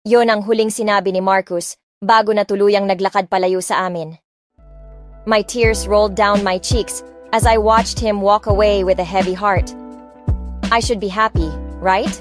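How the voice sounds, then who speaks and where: A woman's voice: mid-range voice, fairly even intonation, a little slow, faint music behind. A woman narrating a first-person audiobook story in a reflective, emotive way on a close mic.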